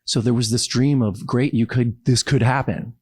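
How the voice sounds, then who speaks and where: A man's voice: medium pitch, steady tone, normal speed, a little mellow, breathy edge, no echo. A man from Los Angeles with an American accent, talking casually and reflectively as an interview guest. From Los Angeles, United States.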